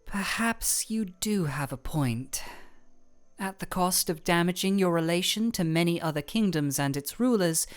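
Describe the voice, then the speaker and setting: Young ice royal played by a woman, fantasy audio drama. Slightly low, widely inflected voice; cool, measured, formal delivery with deadpan wit. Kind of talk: scripted fantasy audio roleplay.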